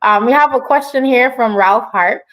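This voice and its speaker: A woman's voice: a little high, normal speed, a bit bright, poor audio. A woman hosting a casual online interview, affirming and encouraging as she relays questions from viewers. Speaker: online show host, interviewer.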